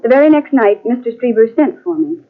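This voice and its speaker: An actress in an old-time radio mystery playing a guarded, principled secretary who speaks with formal restraint. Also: female voice, fairly high pitch, expressive, a little fast. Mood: grave recounting. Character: guarded, principled female secretary narrating her ordeal. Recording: tinny, band-limited old radio cassette transfer.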